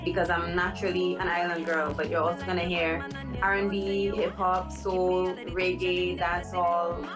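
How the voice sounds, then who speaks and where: A woman's voice: fairly low pitch, little pitch movement, moderate pace, distant mic, music in the background. A woman from Dominica, answering interview questions in an easygoing way with frequent ums. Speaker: musician guest.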